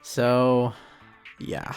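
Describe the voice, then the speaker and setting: A man's voice: mid-range voice, monotone, extremely slow, smooth. A man giving casual creator commentary, rambling hesitantly and often correcting himself. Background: episode audio and music.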